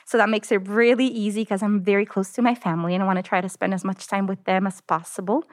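A woman's voice: medium pitch, fairly even intonation, quick pace. A woman in her thirties from Italy, speaking with an Italian accent, chatting as an interview guest with lots of "like" and "you know". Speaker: oncologist guest. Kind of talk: casual interview talk.

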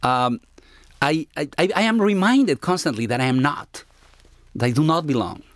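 A middle-aged man from Ecuador with an Ecuadorian Spanish accent, speaking reflectively as an interviewee in a halting, searching way. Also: on the high side, animated, no echo, studio quality. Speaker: interviewee, university academic.

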